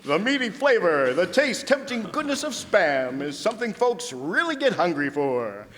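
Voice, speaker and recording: A man's voice: high voice, sing-song, moderate pace, a bit bright. A man playing an enthusiastic, theatrical announcer in a 1940s-style radio commercial. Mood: eager selling. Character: perky old-time radio pitchman selling canned meat. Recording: echoey hall stage, faint noise.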